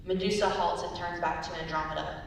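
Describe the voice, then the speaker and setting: A woman's voice: fairly even intonation, a little fast, creaky. A young woman reading stage directions as scripted narration at a dramatic reading. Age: young adult. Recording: very echoey hall, distant mic, hiss and rumble.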